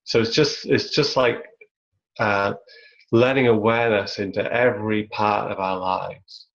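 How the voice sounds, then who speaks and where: A man leading a spiritual satsang over a video call, speaking in a searching, halting way full of "like"s. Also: fairly low pitch, fairly even intonation, gravelly. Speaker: spiritual teacher. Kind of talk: reflective satsang talk. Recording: lo-fi web call audio.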